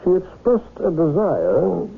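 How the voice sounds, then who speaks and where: A secretive gentleman in an old radio play. His creaky voice swoops dramatically as he probes and commands, over a tinny, noisy broadcast. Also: high voice, huge pitch swings, slow, full character voice. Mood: insistent, secretive. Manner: clipped, probing, imperious. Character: secretive, insistent English gentleman, smoothly commanding schemer.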